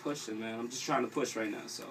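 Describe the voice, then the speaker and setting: A man's voice: mid-range voice, fairly even intonation, fast talker, a bit bright, far from the mic. A young man with a New York accent chatting casually and slangily as a guest in an interview. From New York City, US. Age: young adult. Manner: slangy, informal. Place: small radio studio. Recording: off-mic with faint noise.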